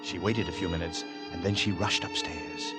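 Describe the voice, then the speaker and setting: An actor narrating a Victorian horror tale in a grave, measured, dramatic 1940s radio suspense style. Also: male voice, low voice, huge pitch swings. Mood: brooding, suspenseful. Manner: measured, dramatic, brooding delivery. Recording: vintage 1940s radio recording with music.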